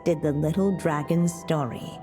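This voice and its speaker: Slow, reverberant, tender witch mother. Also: low-pitched, dark tone, soft background music. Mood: tender, narrating. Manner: measured, tender. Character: tender, solemn witch mother narrating a storybook. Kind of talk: scripted storybook narration, game acting. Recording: long cavernous reverb.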